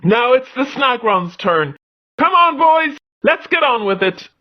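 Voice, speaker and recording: Woman playing a high-pitched, bold clan leader. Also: sing-song, a little slow, dull audio. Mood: resolute. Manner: barking orders, emphatic. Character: bold male clan leader, commanding and determined.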